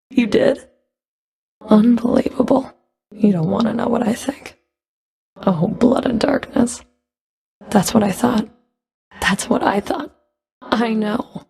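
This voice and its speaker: A woman's voice: a little high, vocal fry, dark tone, husky, exaggerated voice. A woman playing a stern, sardonic underworld fury in a video game, speaking in a cool, clipped, dry and commanding manner. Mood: scornful. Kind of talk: video game character voice lines.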